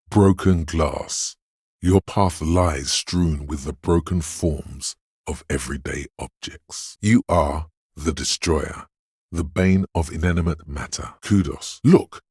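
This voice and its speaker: A man's voice: a little mellow, dry recording, studio quality, full character voice. A man voicing a video game's inner voice of pain, a grim, sardonic, goading presence narrating with brooding deliberation. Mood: sardonic, mocking. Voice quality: deep, gravelly, husky. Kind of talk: dramatic second-person game narration.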